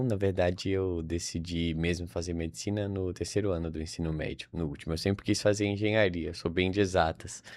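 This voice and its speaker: A man's voice: low voice, a little mellow, dry recording, studio quality. A young man from Salvador with a Brazilian accent, chatting informally as a podcast guest and thinking aloud with frequent tag questions. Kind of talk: casual interview conversation.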